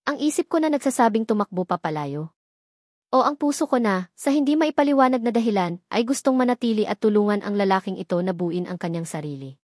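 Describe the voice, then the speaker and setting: A woman's voice: medium pitch, expressive, quick pace, darkish tone, dry recording, clean studio sound. A woman with a Filipino accent reading a scripted, dramatic first-person story as narrator.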